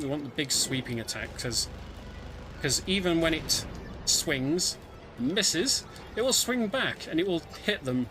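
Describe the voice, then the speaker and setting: A man giving live, explanatory commentary on a video game as he plays it, somewhat hesitant as he goes. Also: on the high side. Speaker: speedrunner commentating own play. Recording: game music behind voice. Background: video game music.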